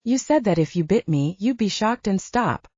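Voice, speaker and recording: A woman's voice: mid-range voice, lively intonation, normal speed, dark tone, no room sound, muffled recording, lightly acted. A woman voicing an anxious, curious young heroine with an earnest, questioning manner in an audiobook.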